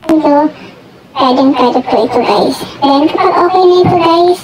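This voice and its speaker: A woman as a tiny girlish Filipino voice, squeakily high from a voice changer filter. It comes through a very noisy app playback. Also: moderate pace. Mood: processed, instructional. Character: app-made girl, woman and baby voices. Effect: pitched-up voice changer filter.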